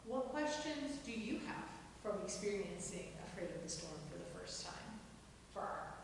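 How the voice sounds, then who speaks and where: A woman's voice: medium pitch, very animated, normal speed. A woman moderating a feedback discussion, asking open questions and animatedly acting out imagined quotes. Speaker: moderator of a play feedback session. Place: echoey indoor room, group gathering. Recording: echoey room, distant mic, faint noise.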